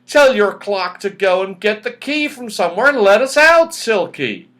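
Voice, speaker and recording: A man plays an excitable magical fellow from a children's storybook. He is eager and emphatic, his raised voice swinging widely in pitch. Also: moderate pace. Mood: joyful. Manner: emphatic, exclamatory.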